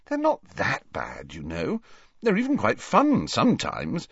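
Man putting on an earnest, high-voiced teenage girl. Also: wildly swooping pitch, a little slow, vocal fry, a bit bright, slightly rough, no room sound, lo-fi sound, full character voice. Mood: reproachful.

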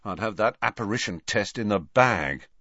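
A man's voice: lowish voice, expressive, normal speed, bright, forceful tone, dry recording, muffled recording, exaggerated voice. A man voicing a glum, blunt, jokey teenage wizard boy in a storybook, with a colloquial manner. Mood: wistful.

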